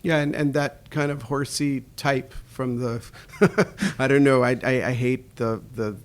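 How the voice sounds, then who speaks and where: A man hosting a podcast interview, talking in a halting way with frequent restarts. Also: mid-range voice, fairly even intonation. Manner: halting, many restarts and fillers. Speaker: podcast host. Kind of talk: conversational interview.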